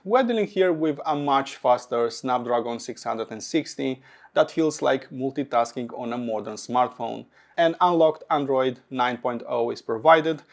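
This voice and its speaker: Man with a gravelly voice reviewing gadgets. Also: medium pitch. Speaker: audio gear reviewer. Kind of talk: semi-scripted product review narration.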